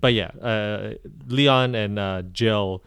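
Guy on a podcast, smooth clear voice, rambly with lots of ums. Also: fairly low pitch, slow pace, fairly bright tone, no echo, clean studio sound. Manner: rambling, self-correcting, many ums. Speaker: podcast co-host. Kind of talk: casual chat.

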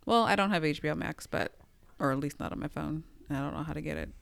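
Woman, podcast co-host, rambling with fillers, smooth voice, dry close mic. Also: deep voice, fast, no room sound. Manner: rambling, searching for words, many fillers. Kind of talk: casual chat.

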